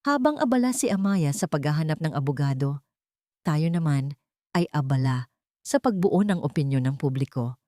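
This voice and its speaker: A scornful, wealthy older matriarch played by a woman narrator in a dramatic audio story. She speaks in commanding, threatening phrases, in a lowish voice with a very dry, close sound. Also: lively intonation, normal speed, mellow, dry recording. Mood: cold, scheming.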